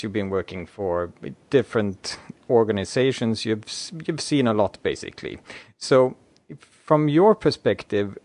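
A man interviewing a guest, asking questions with encouraging remarks and slightly halting phrasing. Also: fairly low pitch, a little mellow, no room sound.

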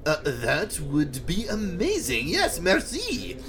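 A man playing a flustered, pompous time-travelling gentleman in a comedic audio drama, stammering and wordy with theatrical flourishes. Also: sits high, huge pitch swings, slow pace, over music, heavily acted.

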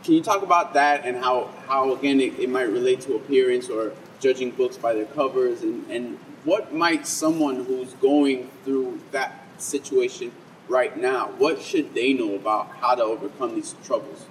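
A man's voice: mid-range voice, steady tone, normal speed, far from the mic, slight background noise, poor audio. A man interviewing an author, asking long, winding questions full of fillers and hesitations.

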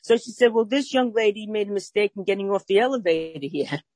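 A woman's voice: medium pitch, a little fast, no echo. Brooklyn-accented woman in her sixties, dry. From Brooklyn, New York. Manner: warm, earnest storyteller with frequent uh fillers. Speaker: guest. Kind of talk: personal storytelling in an interview.

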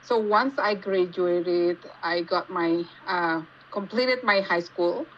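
A woman with an Indonesian accent giving casual interview answers, haltingly searching for words as she goes. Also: lowish voice. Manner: halting, searching for words in non-native English. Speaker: podcast guest, geologist. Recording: dull lo-fi call with faint noise.

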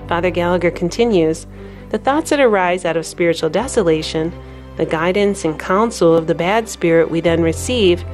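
A woman reading a calm, measured spiritual reflection for a radio segment. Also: fairly low pitch. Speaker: radio devotional presenter.

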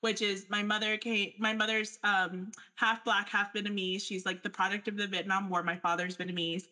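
Bright-voiced woman sharing her story. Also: mid-range voice, flat delivery, normal speed. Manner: earnest, candid, talks through thoughts with fillers. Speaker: panel speaker. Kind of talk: personal reflection in a panel discussion.

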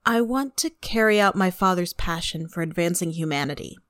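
An actress playing an earnest young woman applying to a space program in an audio drama, with formal, measured answers. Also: on the low side, a bit bright, no room sound, clean studio sound, close to an everyday voice.

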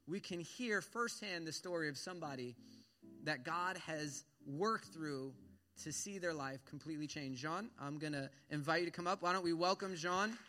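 A high, bright male voice from the pulpit. The pastor speaks earnestly, stumbling over repeated words and fillers as he introduces a guest. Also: moderate pace, soft background music. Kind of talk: church announcement from the pulpit.

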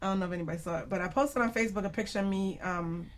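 A woman's voice: medium pitch, racing. A woman hosting a casual radio show in a chatty, giggly way, often laughing as she talks.

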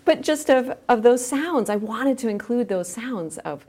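A woman's voice: a little high, expressive, measured pace, warm tone. A woman speaking as a guest in a conversational podcast interview, phrasing things hesitantly and letting thoughts trail. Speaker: guest, editor and audio creator.

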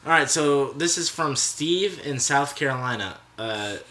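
Dry, deadpan young man in an echoey room. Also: mid-range voice, a little slow. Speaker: podcast co-host. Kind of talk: casual chat, reading listener questions. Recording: roomy echo.

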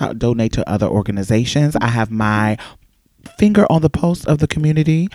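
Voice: mid-range voice, a little mellow, no echo. Playful theatrical host reading a patron thank-you, dry studio sound. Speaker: podcast host. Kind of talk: patron shout-out.

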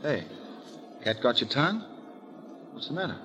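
An actor playing a cheerful, naive young gentleman in a 1930s radio comedy, eager and polite. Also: medium pitch, big pitch swings, normal speed, lo-fi sound, noisy background, lightly acted. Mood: concerned, brisk.

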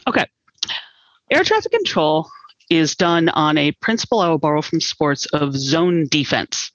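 A middle-aged American woman explaining her work as an interview guest, in deliberate, measured phrases. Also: low voice, sing-song, dry recording, dull audio. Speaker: air traffic controller, interview guest.

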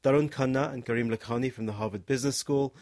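Man reading a smooth podcast intro. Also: medium pitch, fast. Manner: smooth, even read introduction. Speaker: podcast narrator. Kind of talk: scripted narration.

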